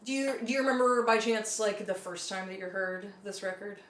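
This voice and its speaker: A woman's voice: medium pitch, brisk, a bit bright. Friendly-affirming woman podcast host. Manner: affirming, frequent "mm-hmm" and "yeah". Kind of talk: casual interview chat. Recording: echoey room, faint noise.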